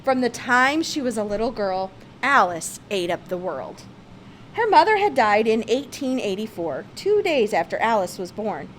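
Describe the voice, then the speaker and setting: A woman reading a children's picture book aloud, with expressive, emphatic phrasing. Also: on the high side, normal speed, a little hiss or hum. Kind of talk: read-aloud children's storybook narration.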